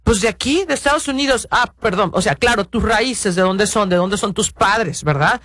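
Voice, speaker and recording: High-pitched guy on the radio, rambling on earnestly, lots of ums, dry close sound. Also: moderate pace, bright, forceful tone, dry recording. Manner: earnest, rambling, many restarts and fillers. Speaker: radio host. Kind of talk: casual radio talk to parents.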